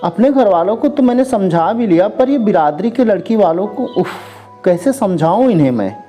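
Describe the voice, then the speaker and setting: A storyteller narrating a scripted first-person story in an expressive, dramatized way. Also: medium pitch, normal speed. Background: background music.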